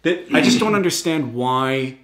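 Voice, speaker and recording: A man co-hosting a reaction show, talking in an animated, rambling way with frequent restarts. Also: mid-range voice, unhurried, roomy sound, a crowd in the background. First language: English. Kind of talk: casual reaction commentary.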